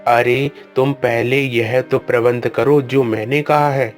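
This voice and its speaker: A man voicing a wise old guru who calmly reads a princess's palm and gives firm orders in a cartoon story. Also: mid-range voice, slow pace, soft background music, lightly acted. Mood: dismissive. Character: wise old male guru, calm and commanding. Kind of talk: Hindi cartoon storybook voice acting.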